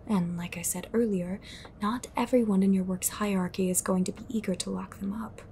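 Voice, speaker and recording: A woman playing a haunted, secretive fighter against an occult group in an audio drama, speaking cryptically and deliberately. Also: mid-range voice, steady tone, dark tone, slight background noise, close to an everyday voice. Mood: grave, insistent. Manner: cryptic, slow, deliberate, sighing. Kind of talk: audio roleplay drama acting.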